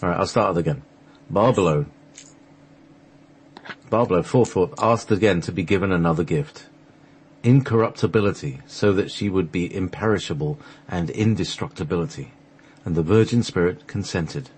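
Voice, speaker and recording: A man narrating a sacred text for a radio play, halting at times as he reads from the script. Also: low-pitched, a little mellow, breathy edge, poor audio. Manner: halting, stumbles over the script and restarts.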